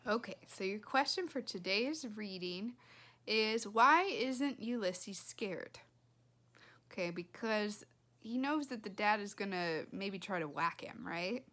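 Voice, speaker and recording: A woman reading a children's book aloud like a teacher, in an animated, expressive way with chatty asides to her listeners. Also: mid-range voice, takes it slowly. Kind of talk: animated read-aloud narration.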